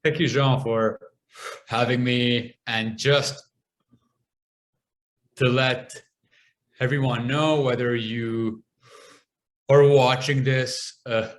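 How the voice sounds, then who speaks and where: A young man from Lebanon who stutters, speaking as a guest in a podcast interview, with long pauses and repeated sounds. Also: mid-range voice, fairly even intonation.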